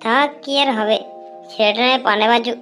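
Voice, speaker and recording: A woman's voice: on the high side, fairly even intonation, a little fast, a bit bright, faint music behind, lightly acted. A woman voicing a blunt, foul-mouthed young village clothes seller in a cartoon, given to grumbling and scolding. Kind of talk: cartoon dialogue.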